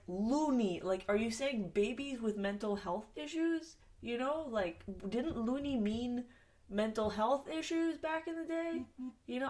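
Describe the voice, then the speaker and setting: Nasal, sniffly woman rambling on a podcast. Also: on the high side. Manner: rambling, self-mocking, swears, bursts of loud exasperation. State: sniffly, coming down with a cold. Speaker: podcast host. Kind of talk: solo casual podcast chat. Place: home basement studio.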